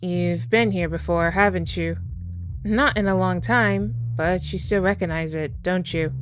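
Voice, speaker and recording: Woman as a slow, gentle, sorrowful Grim Reaper. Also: lowish voice, close to an everyday voice. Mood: tender, knowing. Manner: soft-spoken, tender, unhurried reassurance with pauses. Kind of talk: ASMR audio roleplay, intimate comforting monologue.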